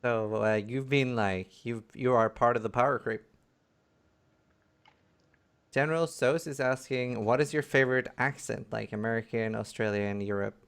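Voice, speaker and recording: A man's voice: medium pitch, fairly even intonation, normal speed, creaky, few pauses, smooth. A man hosting a casual live stream, reading viewer questions aloud in a jokey way.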